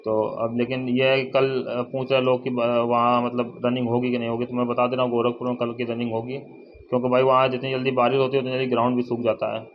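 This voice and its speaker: A young man giving a casual informational update to viewers, halting and often repeating himself as he restates figures. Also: medium pitch, level tone. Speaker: exam update vlogger. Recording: dull lo-fi, faint noise, birdsong.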